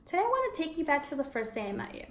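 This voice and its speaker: A woman teaching young children in a read-aloud lesson, encouraging and expressive, asking questions and confirming answers. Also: sits high, very fast. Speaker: elementary school teacher. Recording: echoey room with background noise.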